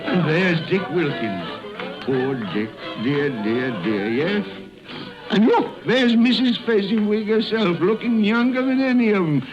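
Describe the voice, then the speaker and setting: A man's voice: sits high, sing-song, unhurried. An actor playing a frightened, remorseful old miser in a radio drama, exclaiming, stammering and repeating his words. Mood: delighted. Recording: muffled radio broadcast with music underneath.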